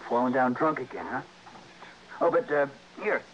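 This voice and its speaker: An actor playing an eager, slangy young hipster in a small town, in a radio drama, with an excitable manner. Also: male voice, medium pitch, moderate pace, close to an everyday voice. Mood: startled, curious. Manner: excitable, slangy, jive-talking. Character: eager, hip young small-town man, starstruck hanger-on. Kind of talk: 1960s radio drama acting. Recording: dull, faintly noisy old radio recording.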